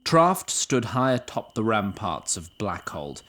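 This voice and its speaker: Fantasy audio drama narrator, middle pitch, scripted storytelling. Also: very animated, a little slow, a bit bright.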